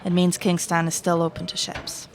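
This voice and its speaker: A woman's voice: fairly low pitch, monotone, moderate pace, slight background noise, lightly acted. A woman in an audio play acting a young rebel messenger who reports urgently to a commandant. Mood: dutiful, urgent.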